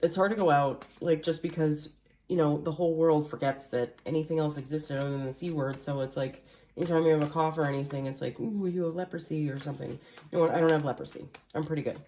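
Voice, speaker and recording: A woman with a low voice reads tarot cards at a table at home, talking off camera. She is chatty and rambling, full of little fillers, and sounds a bit nasal and hoarse from allergies. There is a faint hiss behind her. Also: steady tone, fast talker, dark tone. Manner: chatty, rambling with many fillers, occasional coughs. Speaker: tarot reader. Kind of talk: casual tarot card reading. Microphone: camera facing the table, speaker mostly off screen.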